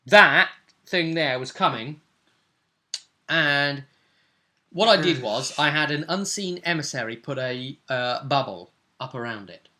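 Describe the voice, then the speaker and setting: A man with a bright, forceful voice hosts as game master. He recaps a tabletop session in a casual way. Key phrases get repeated for dramatic weight. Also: mid-range voice, animated, measured pace. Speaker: game master and vidcast host.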